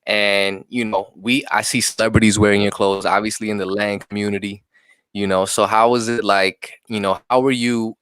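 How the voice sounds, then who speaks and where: A male host asks his questions in fits and starts, circling through fillers before he gets to the point. His voice sits on the low side, smooth and resonant, and the recording is close and dry. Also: little pitch movement, a bit bright, dry recording. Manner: halting questions full of fillers and restarts. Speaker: podcast host. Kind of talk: casual interview.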